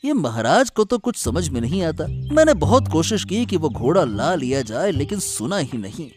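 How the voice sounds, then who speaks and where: Guy acting sneaky greedy palace courtier for a cartoon, high voice that goes all over, oily and fawning. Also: very animated, normal speed, music in the background. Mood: greedy, scheming. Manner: oily, insinuating, talks aloud to himself. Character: scheming greedy royal courtier, sly and fawning. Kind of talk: animated moral story acting.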